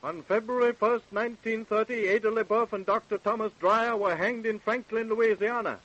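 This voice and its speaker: A dull, lo-fi vintage radio recording of a male crime reporter. His voice sits high, and he narrates grave cases with deliberate, dramatic weight. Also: fairly bright tone.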